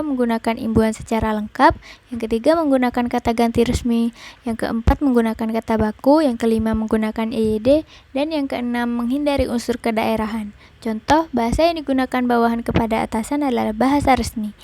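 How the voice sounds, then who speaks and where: A young woman student reading a class presentation, explaining definitions and examples in an even way. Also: on the high side. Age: young adult.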